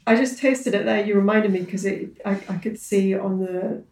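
A woman hosting a podcast, chatting casually and asking questions, with quick affirmations and easy laughs. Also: mid-range voice, a little mellow. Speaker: podcast host. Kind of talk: casual interview chat. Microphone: video call. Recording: echoey room.

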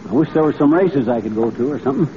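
An actor playing a cheerful, gullible middle-aged braggart in a folksy, chuckling, excitable old-time radio comedy style. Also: male voice, medium pitch, racing, lightly acted. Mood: eager. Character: boastful, cheerful, gullible middle-aged small-town man. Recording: dull, noisy old radio recording.

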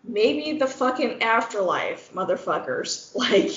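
A woman with a Midwestern accent co-hosts a casual podcast, laughing often and acting out voices. Her voice sits high and jumps all over. The sound is roomy and dull. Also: takes it slowly, slight background noise. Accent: Midwestern American. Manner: animated, laughing, loud put-on quoted voices, swearing. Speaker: podcast co-host. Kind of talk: casual chat. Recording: echoey lo-fi podcast audio.

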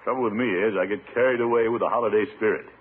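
An actor playing a pompous, jolly middle-aged small-town official in a 1950s radio comedy, blustery and chuckling. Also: male voice, fairly low pitch, steady tone, very fast, lightly acted. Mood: mildly flustered. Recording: tinny, noisy old radio recording.

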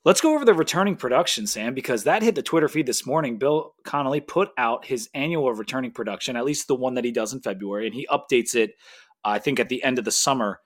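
A man's voice: mid-range voice, expressive, fast talker. A man hosting a sports podcast, chatting casually and enthusiastically.

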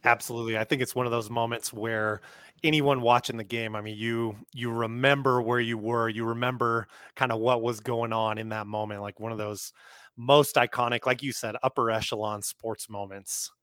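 A man's voice: mid-range voice, fairly even intonation, normal speed, fairly bright tone, dry recording, clean studio sound. A man hosting a podcast, chatting casually with his guest in an interview. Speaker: podcast host.

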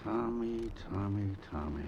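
An actor playing a hard-driven, self-pitying older garment boss in a melodramatic old radio drama style. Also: male voice, on the low side, a little slow, vocal fry, a little mellow. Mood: mournful. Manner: melodramatic, repetitive, colloquial. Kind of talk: 1940s-50s radio drama acting. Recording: muffled, noisy vintage radio recording.

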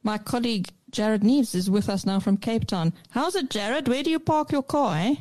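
A woman with a South African accent who hosts a radio business show, brisk and teasing. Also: fairly high pitch, expressive, a little mellow. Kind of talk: bantering radio hosting.

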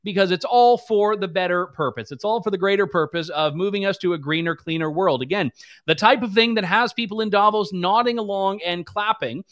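A man's voice: sits high, fairly quick, fairly bright tone. A man hosting a political commentary show, talking in a sarcastic, mocking way. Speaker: host.

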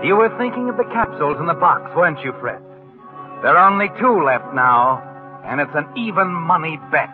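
A man's voice: on the high side, expressive, normal speed, lightly acted. Taunting male radio narrator, music behind, acted. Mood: ominous, taunting. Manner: insinuating, dramatic. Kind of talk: 1940s radio drama narration in second person. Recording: tinny old radio with loud music.

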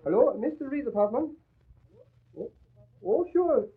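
An actor playing an eager, deferential manservant and sidekick, husky, in clipped broken English with dropped articles, in 1940s radio style. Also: male voice, extremely high pitch, lively intonation, unhurried, full character voice. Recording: muffled vintage radio drama recording.

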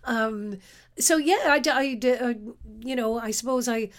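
A middle-aged Irish woman from the west of Ireland, an actress telling stories from her life in a relaxed interview, self-deprecating and quick to laugh. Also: on the high side. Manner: warm, self-deprecating storyteller, laughs often. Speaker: actress guest.

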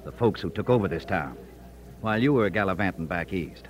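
An actor playing a wry, defiant American frontier editor with a folksy manner in an old radio Western. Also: male voice, deep voice, lively intonation, fairly quick, crowd noise. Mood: folksy recounting. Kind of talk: old-time radio Western drama acting.

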